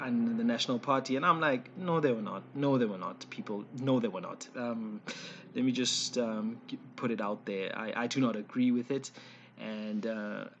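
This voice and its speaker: A man in his forties speaks with a South African accent and a slightly raspy, mid-pitched voice. He delivers informal opinion commentary in a conversational manner. His speech is hesitant, and he often stops to correct or restate himself. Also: a bit bright, lo-fi sound, a little hiss or hum. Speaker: online commentator. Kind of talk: informal spoken opinion piece.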